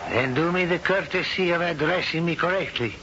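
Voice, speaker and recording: A man's voice: on the high side, a little fast, pushed and bright. An actor playing a proud, unbending older nobleman in a radio drama, speaking formally and with authority. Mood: stern. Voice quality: formal, commanding delivery. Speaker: stern aristocratic father. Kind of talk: 1970s radio drama acting. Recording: dull old broadcast with hiss.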